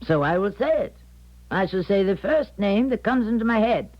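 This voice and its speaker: An actress playing an older, weary fortune teller in a 1970s radio drama, halting and theatrical as she doubts her own powers. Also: medium pitch, animated, natural voice. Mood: reluctant, bewildered. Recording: dull 1970s radio broadcast recording.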